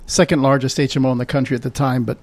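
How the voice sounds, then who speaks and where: A man's voice: mid-range voice, flat delivery, very fast, darkish tone. Hesitant middle-aged man from Guatemala. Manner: hesitant, many fillers and restarts. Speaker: physician guest. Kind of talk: conversational interview.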